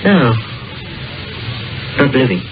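An actor playing a curious male doctor with a macabre imagination, speaking in a measured, musing way in a 1940s radio drama. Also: mid-range voice, very animated. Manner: measured, musing storyteller's delivery. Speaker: Doctor McGregor, an amateur sleuth. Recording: dull old radio broadcast with music.

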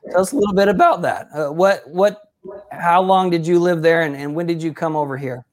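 A man's voice: on the high side, steady tone, fairly quick. A man hosting a casual sports interview, upbeat and effusive in his praise of his guest. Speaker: interview host.

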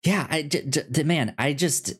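A man co-hosting a casual podcast about a TV show, with a halting, stammering delivery. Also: fairly high pitch, fairly bright tone, breathy edge. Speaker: podcast co-host.

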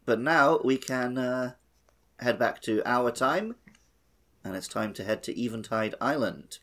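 A man's voice: mid-range voice, a little slow, fairly bright tone. A man chatting casually as co-host of a podcast. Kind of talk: casual chat.

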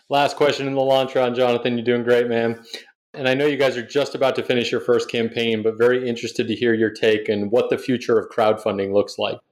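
A male podcast host asks his guest short questions at a middling pitch. He sounds upbeat and encouraging. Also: level tone, a little fast, poor audio. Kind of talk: interview questions.

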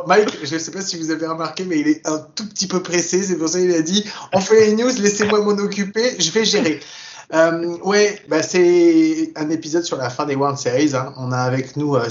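High-voiced laughing podcast host. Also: male voice, lo-fi sound, slight background noise. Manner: laughs often, steers the show. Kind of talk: casual podcast chat.

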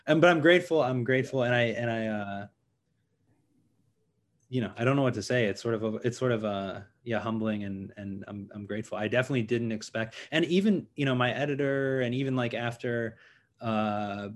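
A man's voice: mid-range voice, a little fast. Modest rambling man novelist. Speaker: novelist being interviewed. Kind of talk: casual interview answers.

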